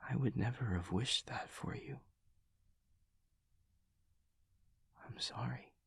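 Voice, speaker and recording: A man playing an awkward, earnest young demon classmate, speaking softly and breathily with hesitant stammers and stiffly formal wording in an intimate audio roleplay. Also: medium pitch, normal speed, dry recording, in a whisper, heavily acted. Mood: anxious, apologetic. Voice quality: soft, breathy, husky. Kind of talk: intimate audio roleplay, whispery ASMR-like acting.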